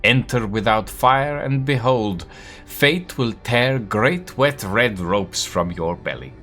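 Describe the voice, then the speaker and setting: A man gives voice to a poetic young woman who speaks in riddles, guarded and wry. The delivery is unhurried and lilting, with lively pitch swings. The voice sits low, and soft music plays underneath. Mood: musing.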